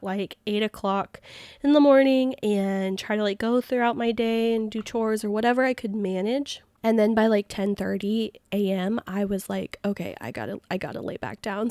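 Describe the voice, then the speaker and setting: A woman's voice: mid-range voice, a little mellow, dry recording, studio quality. A young woman vlogger chatting casually at home, rambling with lots of "like" fillers and small laughs. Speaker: planner vlogger.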